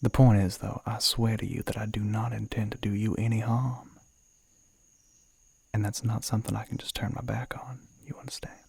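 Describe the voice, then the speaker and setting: A man playing a suave, flirty male demon in an ASMR roleplay, with a Southern American accent, a breathy, gravelly voice that often drops to a whisper, and a teasing, coaxing drawl. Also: on the low side, level tone, normal speed, a little mellow, no room sound, heavily acted. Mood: explaining, earnest.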